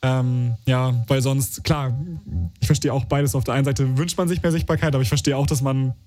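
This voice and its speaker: A man's voice: mid-range voice, steady tone, fairly quick, darkish tone, faint music behind. A man co-hosting a live radio call-in show, chatting casually and hesitantly with many fillers.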